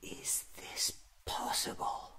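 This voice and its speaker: A man in his thirties doing an ASMR roleplay as a scatterbrained, excitable alien doctor, muttering to himself in fragments, often in a whisper. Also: slow. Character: scatterbrained, excitable time-travelling alien doctor, newly regenerated. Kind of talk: ASMR roleplay acted as a monologue.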